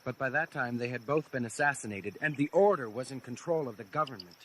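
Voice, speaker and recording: An actor playing a loyal, deferential rebel aide in a sci-fi audio drama, earnest and respectful. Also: male voice, medium pitch, expressive, fast talker, a bit bright, a little hiss or hum, lightly acted.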